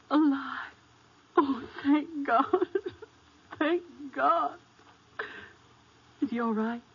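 Slow, earnest woman. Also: high voice, heavily acted. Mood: tearful relief. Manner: earnest, emotional. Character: anxious, emotional grown daughter of missing man. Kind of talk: 1950s radio western acting. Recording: muffled, faintly noisy 1950s radio recording.

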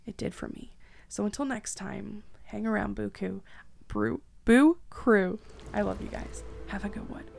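A woman's voice: medium pitch, unhurried, vocal fry. A young woman hosting a podcast, chatting casually in an effusive, informal way. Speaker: podcast host.

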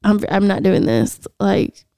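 A young woman co-hosting a podcast, talking in a chatty, swear-happy way with frequent laughs. Her voice is smooth and clear, with a gravelly edge, and the sound is close and dry. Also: medium pitch, animated, measured pace, warm tone, no echo. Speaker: podcast co-host. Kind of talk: casual podcast chat.

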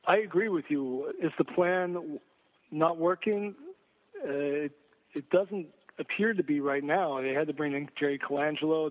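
A man giving conversational sports analysis as a guest, searching for words and repeating phrases for emphasis. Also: a little high. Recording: phone-like narrow-band line.

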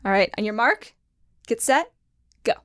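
A woman's voice: on the high side, animated, measured pace. A woman hosting a casual dating game, upbeat and often laughing as she talks.

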